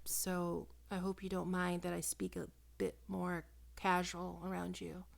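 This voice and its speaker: A woman acting in an ASMR roleplay as a doting, possessive vampire posing as a small-town doctor, coaxing and teasing, often dropping into breathy whispers. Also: mid-range voice, fairly bright tone. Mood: fond, playful. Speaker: doctor character in audio roleplay.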